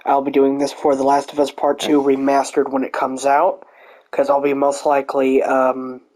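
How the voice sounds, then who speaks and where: A man talks in a casual, off-the-cuff way, like a gamer introducing his own recording. He sits at a middling pitch and rambles a little, pausing hesitantly as he feels for the next phrase. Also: completely flat delivery, darkish tone, low-quality recording. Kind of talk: casual unscripted chat.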